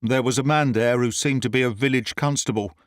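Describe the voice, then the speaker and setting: A man reading a classic story aloud as scripted narration, in a measured, earnest way. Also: medium pitch, fairly even intonation, dry recording, clean studio sound. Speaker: audiobook reader.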